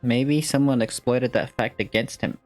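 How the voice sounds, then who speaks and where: A man's voice: medium pitch, normal speed, darkish tone, soft background music. A man casually reacting to a video game as a gaming host, laid-back and slangy.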